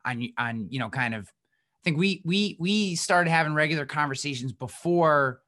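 A man's voice: on the high side, normal speed, sharp tone. A middle-aged man hosting a podcast, talking casually and energetically with many fillers and restarts. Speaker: podcast host. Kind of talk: casual podcast chat and sponsor reads.

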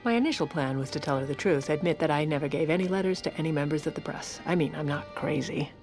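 Actress as a sardonic, steely woman, lowish voice. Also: fast, a little mellow, faint music behind, natural voice. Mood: wry, cold. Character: sardonic, steely woman scheming against her family's accusers. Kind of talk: audio fiction drama acting.

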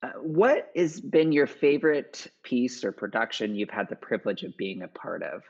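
A man hosting an interview show, speaking in a measured, encouraging way as he asks his guest questions. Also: mid-range voice, expressive, vocal fry, breathy edge. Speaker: podcast host. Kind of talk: conversational interview. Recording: dull lo-fi audio.

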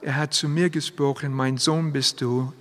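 A man preaching a sermon in German, which is not his first language; he speaks haltingly and drops in English phrases. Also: medium pitch, steady tone, a bit bright. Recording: long hall reverb.

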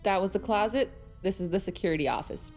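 A woman's voice: medium pitch, fast, faint music behind, close to an everyday voice. A young woman playing a brash, wisecracking girl with a flippant, teasing manner in an audio drama.

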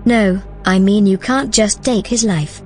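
A woman's voice: mid-range voice, unhurried, a little mellow, lightly acted. A composed, principled female AI from a sci-fi audio drama, acted by a woman. She speaks formally and evenly in a mid-range voice, with faint music behind. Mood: firm, disapproving. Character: calm, principled female artificial intelligence.

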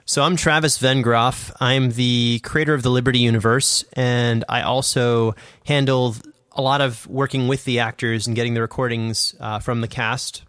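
A man's voice: mid-range voice, little pitch movement, normal speed, fairly bright tone, smooth, dry recording. A man co-hosting a podcast as a producer, explaining things in a casual, loosely phrased conversational way. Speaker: podcast co-host, producer and sound editor.

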